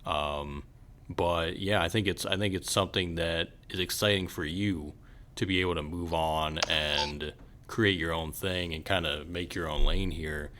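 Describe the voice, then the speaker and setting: A man with a deep voice that has a bright, crisp edge. He hosts a casual podcast interview and talks in an encouraging, affirming manner. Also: fairly even intonation, a little slow, a little hiss or hum. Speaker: podcast host.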